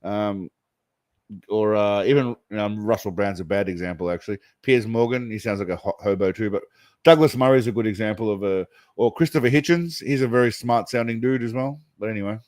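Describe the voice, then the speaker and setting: A man's voice: lowish voice, brisk, darkish tone, dry recording. Sarcastic, foul-mouthed man, dry close mic. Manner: sarcastic, profane, mocking. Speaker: host reacting to clips. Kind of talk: crude comedic commentary.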